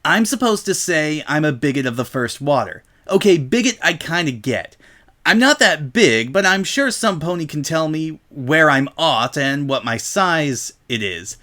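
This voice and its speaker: A man's voice: a little high, sing-song, measured pace, pushed and bright, heavily acted. A man voicing a brash female pegasus pony who rambles on with feigned cluelessness. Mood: rambling, puzzled.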